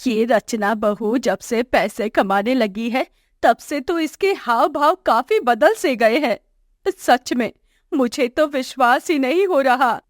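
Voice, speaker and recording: Woman playing gentle, unhurried older mother-in-law, lower-pitched. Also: dry recording, studio quality. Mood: dismayed. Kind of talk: acted moral story dialogue for animation.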